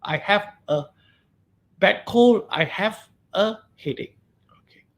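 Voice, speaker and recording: Malaysian English male lecturer, high register, emphatic and repetitive, keeps asking "okay?". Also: lively intonation, slow pace, fairly bright tone. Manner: emphatic, repeats key words, frequent "okay?" checks. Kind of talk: webinar grammar lesson.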